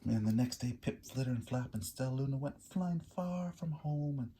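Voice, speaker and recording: A man reading a picture book aloud in an animated, expressive storyteller way. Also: mid-range voice, moderate pace, slight background noise.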